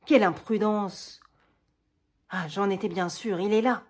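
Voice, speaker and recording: A woman voicing an anxious, loyal male friend who bursts out with loud, alarmed exclamations. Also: fairly low pitch, a little fast, close to an everyday voice.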